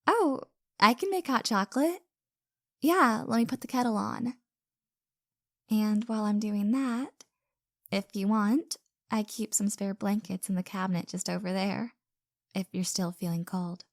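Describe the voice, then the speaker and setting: Woman acting as flustered young woman with a crush, audio drama, soft hesitant stammer, slow pace, dry close sound. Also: mid-range voice, no room sound. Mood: shy, warm. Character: flustered, caring young woman hosting her secret crush. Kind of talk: intimate roleplay audio drama monologue.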